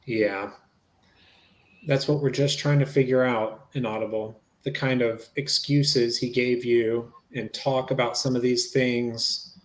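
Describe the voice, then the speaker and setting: A man's voice: medium pitch, fairly even intonation, measured pace, lightly acted. Man acting probing, matter-of-fact police interviewer. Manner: questioning, matter-of-fact, conversational. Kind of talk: dramatic reading of an interview transcript.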